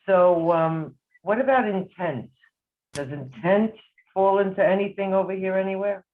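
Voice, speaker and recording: A woman's voice: medium pitch, normal speed, narrow, tinny audio. A woman calling into an online class to ask a hesitant question. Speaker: class participant. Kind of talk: asking a question.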